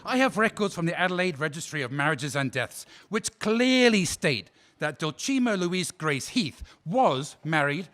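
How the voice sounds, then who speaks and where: A man's voice: on the high side, very animated, moderate pace, few pauses, a bit bright. A man playing a formal, probing defense lawyer. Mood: pressing. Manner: polite, precise, insistent questioning. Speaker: defense counsel in a courtroom drama. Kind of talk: audio drama acting.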